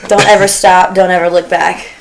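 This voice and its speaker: A woman co-hosting a podcast, chatting casually with deadpan jokes. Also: lowish voice, normal speed, vocal fry, background noise. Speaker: podcast co-host. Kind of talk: casual chat.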